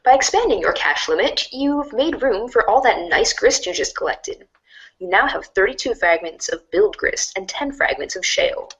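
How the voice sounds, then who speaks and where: A woman narrating a second-person storybook in an expressive, wry way. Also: medium pitch, normal speed, poor audio.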